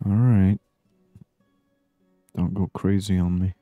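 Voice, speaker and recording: A man doing soft-spoken ASMR gaming commentary, murmuring and musing aloud to himself close to the microphone. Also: deep voice, steady tone, takes it slowly, no echo, phone-quality sound, soft background music. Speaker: gaming channel host. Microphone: close microphone, dry.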